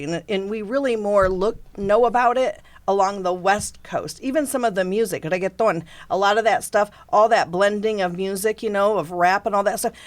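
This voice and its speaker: A woman from Texas, speaking as a panelist in a conversational interview, explaining with emphatic engagement. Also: mid-range voice.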